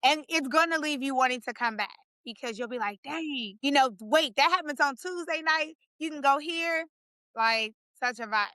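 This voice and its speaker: A woman in her forties from Chicago, a guest chatting about her life in a playful, lively way. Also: sits high, normal speed, no echo. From Chicago, USA. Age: 40s. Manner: lively, playful, talks up her city with slang. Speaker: podcast guest, author. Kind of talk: casual interview chat.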